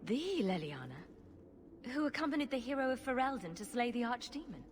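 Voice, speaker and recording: A woman's voice: a little high, sing-song, fairly quick, a little hiss or hum. A woman playing a wry, inquisitive heroine in a fantasy video game, direct and questioning. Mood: direct, curious.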